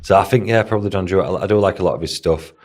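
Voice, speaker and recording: A man's voice: low-pitched, rapid-fire, a little mellow. A man with a Hull accent co-hosting a casual podcast as a bodybuilding coach, rambling and dropping in "do you know what I mean" as he talks. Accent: Hull, Northern English. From Hull, England.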